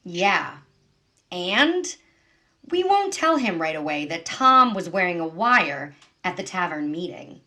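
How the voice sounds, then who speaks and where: Woman acting animated, businesslike prosecutor. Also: fairly low pitch, slow, heavily acted. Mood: briskly explaining. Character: businesslike female prosecutor planning an interrogation. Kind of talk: audio drama acting.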